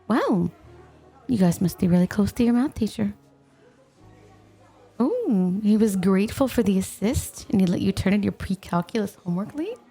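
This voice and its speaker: A woman's voice: mid-range voice, warm tone, husky. A woman playing a playful, possessive snow spirit in an ASMR roleplay, coaxing and teasing the listener with coy, intimate talk. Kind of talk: ASMR roleplay, scripted, intimate one-sided dialogue.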